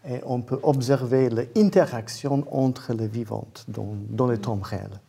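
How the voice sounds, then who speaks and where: A man with a foreign accent, a non-native speaker giving careful, halting explanations as an interview guest and searching for his words. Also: mid-range voice, gravelly, dark tone, slightly rough. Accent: foreign accent in French. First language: not French. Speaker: guest, independent researcher and inventor.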